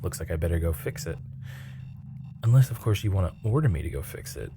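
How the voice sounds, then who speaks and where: A man playing a seasoned, flirty and chatty spaceship mechanic in an intimate ASMR roleplay, teasing and breathy, dropping toward a whisper. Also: deep voice, expressive, fairly quick. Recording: clearly noisy ambient background hum.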